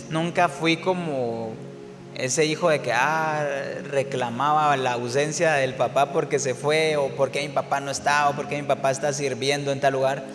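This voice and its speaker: A man from Guatemala, a worship singer telling his story in a relaxed interview, reflective and full of fillers and restarts. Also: a little high, in a large hall.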